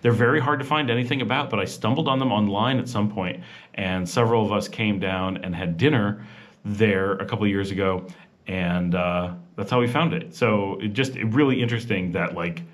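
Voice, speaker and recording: Vlog host with a lowish voice. Relaxed, rambling voice-over narration full of fillers and restarts. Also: male voice, brisk. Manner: chatty and informal. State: rushed. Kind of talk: casual voice-over narration of a walking tour.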